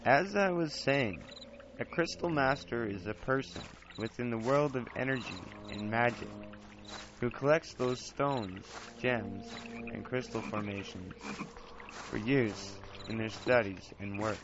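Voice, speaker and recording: A man's voice: medium pitch, measured pace, close to an everyday voice. A man playing a chatty apprentice wizard who explains his studies in a theatrical fantasy podcast. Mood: earnest, explaining. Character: young wizard's apprentice, chatty, grumbling, eager amateur scholar. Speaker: host. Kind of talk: scripted fantasy podcast monologue and narration. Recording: dull lo-fi home recording, loud music. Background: music.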